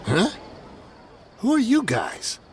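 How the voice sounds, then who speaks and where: An actor playing a shifty, nervous ex-mercenary smuggler in a video game, wheedling and evasive. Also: male voice, sits high, wildly swooping pitch, slow pace, a little hiss or hum, exaggerated voice. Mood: startled. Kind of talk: video game character acting.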